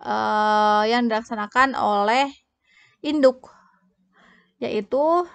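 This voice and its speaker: A woman's voice: fairly high pitch, vocal fry, fairly bright tone, dry recording. A young woman giving an explanatory tutorial, often breaking off and restarting as she goes. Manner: halting, frequently breaking off and restarting. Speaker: accounting tutor presenting.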